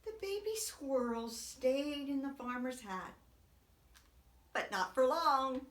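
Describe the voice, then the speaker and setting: A woman reads a children's story like a preschool teacher, with bright, very lively swoops in pitch. Her delivery is slow and expressive, made for small listeners. The room around her is echoey. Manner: expressive, dramatic whispers and swoops. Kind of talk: animated read-aloud storytelling for young children.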